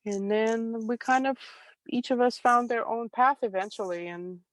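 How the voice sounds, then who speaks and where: A middle-aged woman from Ukraine with a Russian accent, a Russian native speaker telling her story calmly as an interview guest. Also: fairly high pitch, normal speed, no echo. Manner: calm, reflective, soft laughs.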